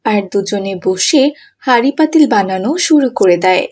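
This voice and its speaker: A woman's voice: medium pitch, lively intonation, normal speed, darkish tone. A woman narrator reading a scripted story for children.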